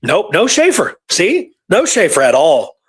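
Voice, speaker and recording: A man's voice: high-pitched, huge pitch swings, unhurried, slightly rough. A man telling stories in a casual, informal, colloquial way, as a guest researcher in a conversation.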